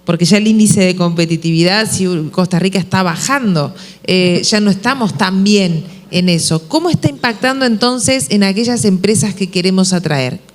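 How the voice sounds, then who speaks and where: A woman's voice: medium pitch, moderate pace. A woman from Uruguay with a Uruguayan accent, moderating a business panel and posing questions thoughtfully, with frequent fillers and restarts. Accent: Uruguayan Spanish.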